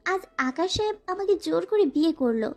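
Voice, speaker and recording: Female actor as frightened young student bride, high voice, plaintive, pleading, first-person audio romance drama. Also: lively intonation, a little fast, faint music behind. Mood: sad, confiding.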